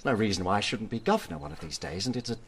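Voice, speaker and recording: An actor playing a smooth, self-serving British colonial lover in a radio drama, condescending and placating. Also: male voice, medium pitch, lively intonation, very fast, slight background noise. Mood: patronising, self-justifying.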